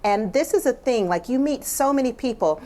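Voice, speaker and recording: A woman's voice: fairly high pitch, lively intonation, normal speed, dark tone. A woman with an American accent speaking as a writer and guest in a thoughtful broadcast discussion.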